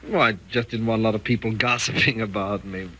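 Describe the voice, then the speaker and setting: An actor playing a domineering, scheming husband in a 1940s radio thriller, with an insistent theatrical delivery. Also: male voice, on the low side, steady tone, fast talker, pushed and bright. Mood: defensive. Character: domineering, smooth-talking, scheming husband, coldly intense. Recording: noisy old 1940s radio recording.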